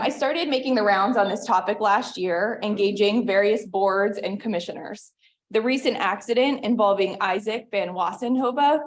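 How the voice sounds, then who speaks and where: Earnest woman reading a public comment. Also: medium pitch. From western Pennsylvania. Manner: earnest, measured, reads from notes. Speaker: resident giving public comment. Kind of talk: prepared public comment read aloud.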